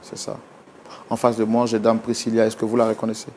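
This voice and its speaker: Probing talk show host. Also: male voice, lowish voice, completely flat delivery, brisk, darkish tone, a little hiss or hum. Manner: probing, presses for direct answers.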